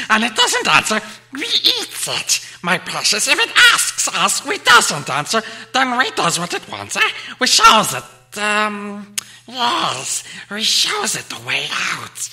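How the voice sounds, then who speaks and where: Storybook cave creature, hissing, whining, piercingly sharp, slow drawling words, hungry and sly. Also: male voice, full character voice. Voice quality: hissing, whispery, strained high voice. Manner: drawn-out words, talks to himself, repeats himself.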